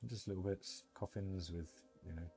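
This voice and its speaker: A man's voice: deep voice, steady tone, moderate pace, dark tone, faint music behind. A British man hosting a game livestream, chatting informally and rambling as he thinks aloud. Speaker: livestream host. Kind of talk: casual game livestream commentary.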